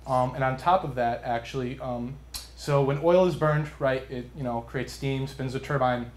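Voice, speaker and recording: A man's voice: medium pitch, steady tone, moderate pace. Earnest young man in an echoey room. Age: young adult. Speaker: student contestant pitching a business idea. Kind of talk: explanatory pitch and answers to judges. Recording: roomy, echoing live sound.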